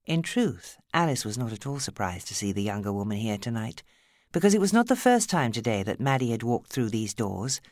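A woman's voice: rumbling low, big pitch swings, fairly quick, darkish tone, no room sound, studio quality. A woman with an English accent reading a novel as an audiobook narrator, in a dramatic scripted style.

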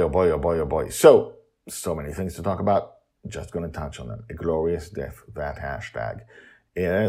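Deep gravelly man hosting a story podcast. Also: darkish tone. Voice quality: gravelly, husky.

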